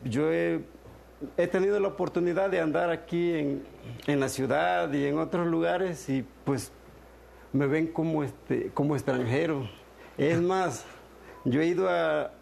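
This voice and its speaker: A man's voice: fairly high pitch, darkish tone. A middle-aged man with a coastal Mexican accent talks casually in an interview, in a hesitant, humble and unpolished way. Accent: coastal Mexican Spanish. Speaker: interview guest, farmer and non-professional film actor. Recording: clear background noise.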